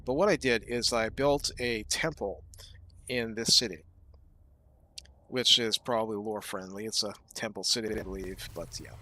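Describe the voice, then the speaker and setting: A man giving casual gameplay commentary, explaining his strategy thoughtfully and often pausing with fillers. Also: fairly low pitch, pushed and bright, a little hiss or hum.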